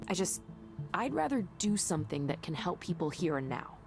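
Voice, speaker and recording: An earnest, idealistic woman with a slightly low voice in a visual novel. She speaks conversationally and with conviction, as if defending work she believes in. Faint music plays behind her. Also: sing-song, moderate pace, creaky. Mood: impassioned, pleading. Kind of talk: visual novel game voice acting.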